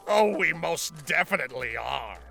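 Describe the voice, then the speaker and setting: A man voicing a gravelly centaur villain who talks in a courtly, affectionate way, like a doting father, in a cartoon fan drama. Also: fairly high pitch, sharp tone, full character voice. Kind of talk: fan fiction audio drama acting.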